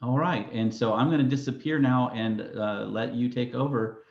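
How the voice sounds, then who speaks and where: A man moderating an online showcase who introduces the next presenter, speaking haltingly with many fillers over a video call. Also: medium pitch, steady tone, creaky. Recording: echoey, muffled video call audio.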